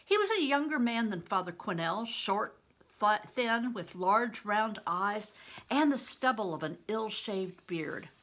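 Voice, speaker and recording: Slow-paced, bright-voiced older woman reading. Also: medium pitch, very animated. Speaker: grandmother reading aloud. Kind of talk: storybook narration.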